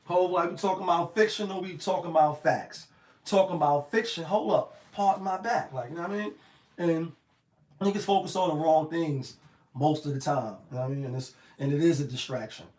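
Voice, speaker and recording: A man with a slightly raspy voice giving a casual, rambling motivational talk full of slang and "you know what I mean.". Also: fairly high pitch, fairly quick, darkish tone, echoey room. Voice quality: a little raspy. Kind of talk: casual motivational monologue to camera.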